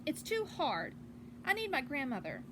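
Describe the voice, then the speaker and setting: Woman voicing an earnest, lively young storybook girl. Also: high voice, noisy background. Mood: unsteady, pleading. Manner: halting, polite. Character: earnest, polite young girl, easily hurt but resolute.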